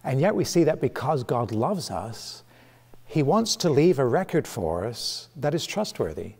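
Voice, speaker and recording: A middle-aged man, preaching a sermon in a church sanctuary, animated and gesturing, often restarting his phrases. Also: medium pitch, unhurried, vocal fry, breathy edge. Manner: animated, gesturing with both hands, frequent restarts. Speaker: pastor. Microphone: camera at medium distance. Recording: long reverb of a church hall.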